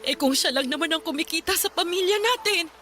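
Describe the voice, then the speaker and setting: A woman's voice: very high voice, brisk, bright, forceful tone, slight background noise. An actress playing a worried mother of six, the wife of a poor fisherman, in a radio drama. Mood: distraught. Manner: anxious, tearful.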